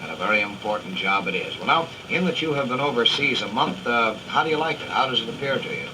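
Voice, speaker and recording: A man's voice: mid-range voice, lively intonation, quick pace. 1940s American radio reporter, man, noisy old record. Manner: formal, folksy announcer phrasing. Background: record surface noise.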